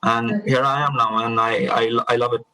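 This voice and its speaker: Flat bright-voiced young man from India. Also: mid-range voice, low-quality recording. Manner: measured, hesitant. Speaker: panelist. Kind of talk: casual panel talk.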